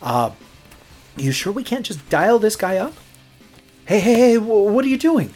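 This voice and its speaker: A man's voice: sits high, very animated, unhurried, darkish tone, faint music behind, full character voice. A man playing an earnest, stubborn young rookie radio DJ in an audio horror drama, sometimes hesitant and pleading. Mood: nervous. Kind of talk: dramatic audio horror acting, naturalistic dialogue.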